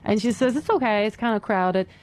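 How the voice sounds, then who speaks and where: A woman's voice: mid-range voice, fairly quick, darkish tone. A woman with a foreign accent giving earnest dating advice as a guest on a radio talk show. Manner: earnest, explanatory, laughs easily.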